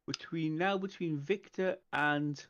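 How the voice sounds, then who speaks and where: A man playing a tabletop roleplaying game, calmly reasoning aloud in casual discussion, on a slightly muffled mic. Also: a little high, slow pace, slight background noise. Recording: slightly dull, muffled microphone.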